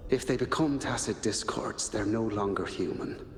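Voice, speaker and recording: An actor playing a grave, detached man in a game, speaking in a measured, formal way. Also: on the low side, close to an everyday voice. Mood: grave, cautioning. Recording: long echoing reverb, faint music.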